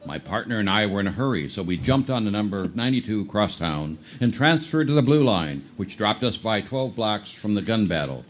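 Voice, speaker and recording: A man playing a deadpan police detective in a live old-time radio drama parody, narrating his case in clipped procedural lines. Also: lowish voice, a little fast, hardly any pauses, natural voice. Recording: noisy live shipboard stage performance.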